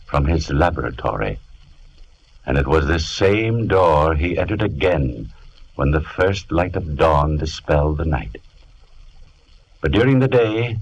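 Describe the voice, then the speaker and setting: An actor as a grave storyteller, narrating an old radio horror tale in an ominous, dramatic manner. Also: male voice, low voice, breathy edge, exaggerated voice, poor audio.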